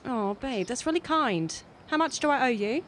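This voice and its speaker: A woman's voice: high-pitched, lively intonation, normal speed, a bit bright, a little hiss or hum. A woman playing a polite, put-upon young woman in an audio drama, a little hesitant. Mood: surprised, grateful. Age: young adult. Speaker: actor in a fiction podcast. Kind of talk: naturalistic audio drama dialogue.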